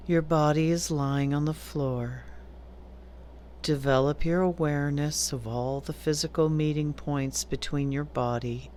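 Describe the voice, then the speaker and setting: A woman teaching yoga nidra, giving calm, deliberate guided meditation instructions with long pauses between phrases. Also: sits low, slight background noise.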